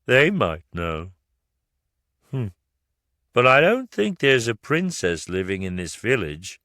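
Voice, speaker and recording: A man's voice: fairly low pitch, very animated, slow pace, pushed and bright, dry recording, clean studio sound, voice pushed up, full character voice. A man reading a storybook, voicing a polite, unsure working man.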